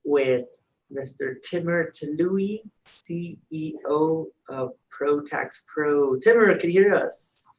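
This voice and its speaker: A man's voice: fairly high pitch, slow pace, a little hiss or hum, low-quality recording. A man hosting a casual video call chat, easygoing and chatty as he introduces guests and asks questions. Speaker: host.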